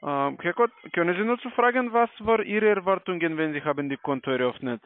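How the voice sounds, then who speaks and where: A man with a foreign accent, speaking non-native German as a telemarketing caller giving a sales pitch. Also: animated, a little fast, no echo. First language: not German. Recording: tinny phone line.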